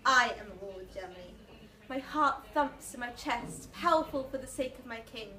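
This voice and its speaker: A woman's voice: on the high side, lively intonation, moderate pace, pushed and bright. A woman performing a fervent patriotic English soldier in a declamatory slam poetry recital. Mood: proud, stirred. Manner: declamatory, impassioned. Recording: noisy, echoey room, mic far off.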